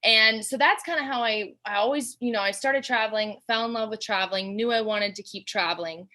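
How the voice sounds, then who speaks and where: A woman's voice: a little high, brisk, bright, forceful tone. A young woman from Georgia, a guest in a casual interview, talking in a bubbly, chatty way with lots of fillers. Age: young adult.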